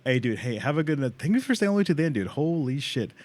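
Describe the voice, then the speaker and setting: A man doing casual livestream commentary on a game, informal and sweary, thinking out loud as he plays. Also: fairly high pitch, big pitch swings, racing, a little hiss or hum. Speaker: live game streamer.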